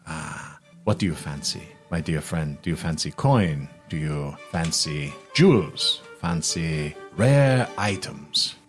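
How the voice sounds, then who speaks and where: A man performs a big, rich merchant schemer for a tabletop fantasy game. His deep voice rises and falls theatrically as he flatters and repeats himself, speaking in a florid, effusive way. Faint music plays underneath. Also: slow pace, hardly any pauses, heavily acted. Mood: lavish, wheedling. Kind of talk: tabletop roleplay, in character.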